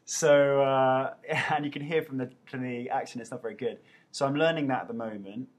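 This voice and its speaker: Man, 30s, husky, mid-pitched, casual and self-mocking webcam Q&A. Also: normal speed. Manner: playful and self-mocking, reading out questions. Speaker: actor answering viewer questions. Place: indoors at home. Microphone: webcam mic.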